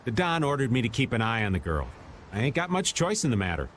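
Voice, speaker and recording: Cheeky old game rogue, slangy and easygoing, pitch jumps all over, some noise behind. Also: male voice, very animated, fast talker, fairly bright tone. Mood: evasive. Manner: folksy, joking, slangy. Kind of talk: video game voice acting.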